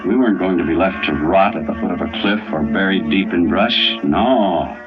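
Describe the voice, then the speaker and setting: A man's voice: sits low, normal speed, lightly acted. An actor playing a weary, dogged family man in an old-time radio drama, with brooding, hard-boiled delivery. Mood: tense, grim. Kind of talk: old-time radio first-person narration and acting. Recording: dull old radio recording. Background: dramatic music.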